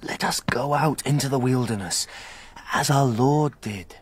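Slow-spoken, loyal medieval knight. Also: male voice, medium pitch, slightly rough. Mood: urging, earnest. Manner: earnest, measured, urging. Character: Robert, loyal, earnest knight and royal companion. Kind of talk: historical radio drama acting.

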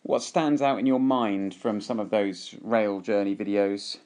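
A man with a British accent hosting a casual family podcast as a father, gently prompting his children with questions. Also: fairly low pitch, fairly even intonation, a little slow.

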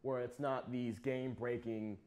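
A man's voice: mid-range voice, little pitch movement, normal speed, darkish tone. A man, hosting a casual video game panel discussion. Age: 20s. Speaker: video game show host. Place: small TV studio.

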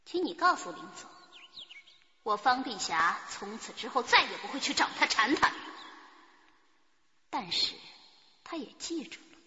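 An actress playing an embittered, defiant spurned mistress in her late twenties in a radio drama, scornful and deliberate. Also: on the high side, big pitch swings, bright, forceful tone, full character voice. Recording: muffled, long hall reverb.

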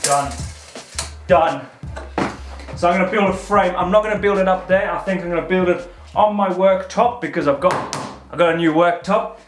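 A man's voice: high voice, moderate pace, noisy background. A man in his thirties from the UK, presenting a casual workshop vlog, chatty and self-mocking as he thinks aloud while building, recorded on a head-mounted action camera in a garage. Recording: roomy garage echo with music behind.

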